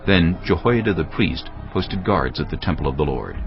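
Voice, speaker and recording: A man with an extremely deep, gravelly voice reads scripture steadily and in measured tones, with a lively rise and fall in pitch. The sound is a bit dull, with faint music underneath. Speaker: narrator. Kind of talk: scripted Bible narration.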